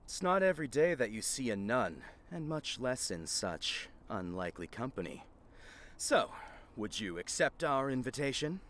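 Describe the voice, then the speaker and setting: A man's voice: mid-range voice, sing-song, normal speed, a bit bright. A man playing a curious, courteous figure in a fantasy game. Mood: curious, inviting. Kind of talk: visual novel character acting. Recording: game audio with faint background noise.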